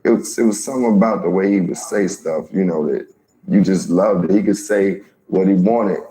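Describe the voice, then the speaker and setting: Deep, mellow man in an interview. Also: fairly quick, rough recording. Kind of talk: documentary interview.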